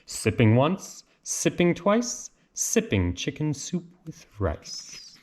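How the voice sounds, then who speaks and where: A man reading a children's picture book aloud in an expressive, sing-song, theatrical way. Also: fairly high pitch, slow, background noise. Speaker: read-aloud storyteller.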